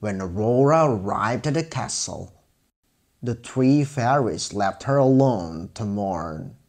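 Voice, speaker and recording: A man's voice: fairly low pitch, expressive, slow, darkish tone. A man narrating a scripted fairy tale for children as a storyteller.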